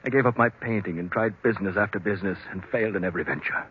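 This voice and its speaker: A man's voice: on the low side, racing, slight background noise, lightly acted. A man playing a defeated, henpecked middle-aged husband in old radio drama, meek, weary and hesitant. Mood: despairing. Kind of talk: 1940s radio drama acting. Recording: very muffled, fuzzy vintage radio recording.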